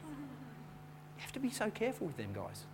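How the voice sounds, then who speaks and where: A man preaching a sermon in a conversational, storytelling way, repeating words as he goes and building from quiet chat to louder preaching. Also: extremely high pitch, wildly swooping pitch, normal speed, heavy background noise. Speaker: pastor.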